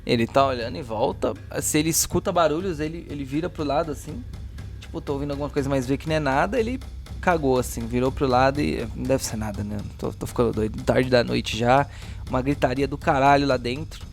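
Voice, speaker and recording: A man's voice: medium pitch, fast, gravelly. Brazilian man game-mastering over music. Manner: dramatic descriptions mixed with casual slangy asides. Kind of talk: narrating a horror tabletop role-playing game. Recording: music playing behind the voice.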